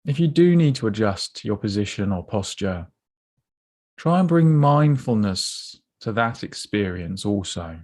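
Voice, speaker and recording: A man leading a guided body scan meditation in a gentle, unhurried, instructive way, leaving pauses between phrases. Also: lowish voice, sing-song.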